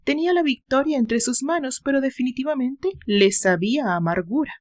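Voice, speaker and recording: A woman's voice: sits high, lively intonation, brisk. A woman with a Mexican accent giving a dramatic scripted narration of a story as its author and narrator.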